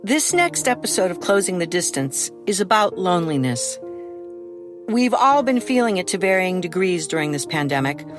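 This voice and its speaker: A woman's voice: lowish voice, sing-song, moderate pace. Earnest woman narrating over music. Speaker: author introducing an audio drama. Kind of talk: scripted narration, introduction. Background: instrumental music.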